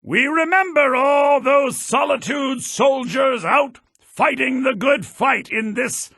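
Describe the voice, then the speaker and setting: An actor playing an earnest, theatrical head bard in a fantasy video game, speaking formally with a slightly raspy voice. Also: male voice, extremely high pitch, slow, pushed and bright, dry recording, full character voice. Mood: proclaiming. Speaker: game character, bard college leader.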